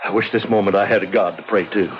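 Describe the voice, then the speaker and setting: An earnest middle-aged frontier doctor, played by a male actor speaks in a low voice. He is halting and emphatic in old radio drama style. The recording is dull, with clear background noise. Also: steady tone, close to an everyday voice. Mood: shaken, bitter. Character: pragmatic frontier doctor, a weary father.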